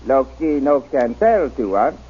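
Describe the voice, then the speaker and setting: An actor playing a wary, loyal native guide who speaks halting, clipped pidgin English in an old-time radio drama. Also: male voice, medium pitch, very animated, breathy edge, full character voice. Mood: wary, guarded. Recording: muffled, dull old radio recording.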